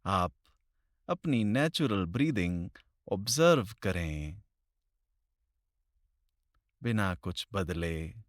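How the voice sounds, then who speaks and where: A man leading a guided meditation in slow, deliberate phrases with long pauses, recorded close to the mic. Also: deep voice, very animated, no room sound.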